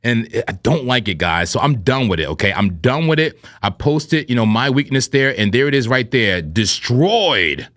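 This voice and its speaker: A man co-hosting a live trading stream, with a smooth radio-style voice, talking in a playful, animated way and often clearing his throat. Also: medium pitch, moderate pace, hardly any pauses, no echo, studio quality. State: throat irritated from vaping. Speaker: co-host of a crypto trading stream. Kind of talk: casual live-stream banter.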